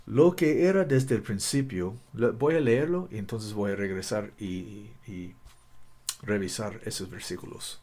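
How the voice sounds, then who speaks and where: Bible teacher, man, native English speaker, lowish voice, halting with restarts. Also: darkish tone, slightly rough. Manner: careful, halting, frequent restarts. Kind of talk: video Bible study. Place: Costa Rica.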